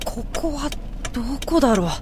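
An actor in a Japanese audio drama playing a composed figure who explains things in a measured way and gently guides others. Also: high-pitched, sing-song, a little hiss or hum. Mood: puzzled.

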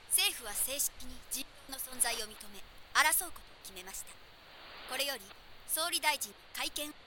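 A woman voicing a television news announcer who reads in a crisp, formal way. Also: high voice, lively intonation, normal speed, bright, forceful tone, a little hiss or hum, full character voice, poor audio.

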